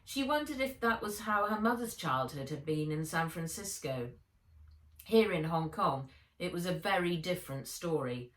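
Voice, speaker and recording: A woman reading her own children's novel aloud as narrator, in measured, expressive storytelling. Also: lowish voice. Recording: roomy echo, distant microphone.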